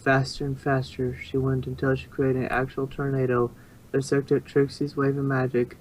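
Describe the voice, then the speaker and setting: Amateur narrator reading fan fiction out loud, bit of noise behind the voice. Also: medium pitch, monotone, normal speed, rough recording.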